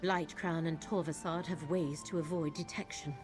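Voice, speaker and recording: Grave, low-voiced woman mystic played by an actress. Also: steady tone, over music, close to an everyday voice. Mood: grave, urgent. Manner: measured, solemn, formal. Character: grave, troubled woman mystic serving an eldritch fate god. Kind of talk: fantasy video game dialogue.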